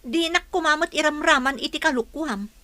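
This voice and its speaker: An actress plays a practical confidante in an Ilocano radio drama, reproachful and full of pointed questions. Her voice is fairly high and forceful, with an Ilocano Filipino accent, in a dry recording. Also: brisk, no room sound. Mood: exasperated. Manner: questioning, scolding. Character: practical, reproachful working woman, the wife's confidante Sisa.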